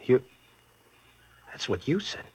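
A man's voice: slow pace, a little mellow, close to an everyday voice. An actor playing a slangy, evasive young con man on the run, in 1940s radio drama style. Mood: tender. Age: young adult.

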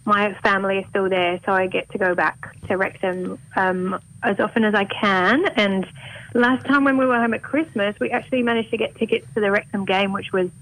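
A woman from Wales with a soft, English-leaning Welsh accent, chatting enthusiastically as a singer-songwriter guest. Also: medium pitch, steady tone, brisk, no room sound, poor audio. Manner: bubbly, enthusiastic. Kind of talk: casual interview chat.

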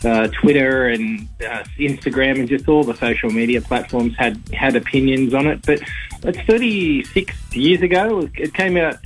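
A man's voice: medium pitch, moderate pace, breathy edge, faint music behind, poor audio. A man with an Australian accent and a sore throat, chatting casually as a radio film reviewer, rambling with fillers. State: sore throat, slightly hoarse.

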